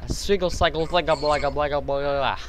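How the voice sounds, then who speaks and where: A young man casually commenting while playing a social VR game, joking, laughing and breaking into loud outbursts. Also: a little high, steady tone, a little fast, a little hiss or hum. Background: game music.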